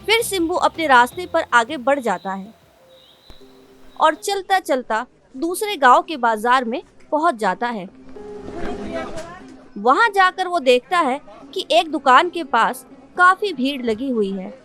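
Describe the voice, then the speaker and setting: A woman narrates a children's cartoon story in a high-pitched voice. Music plays behind her as she reads the scripted tale. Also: very animated, unhurried, a bit bright. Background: background music.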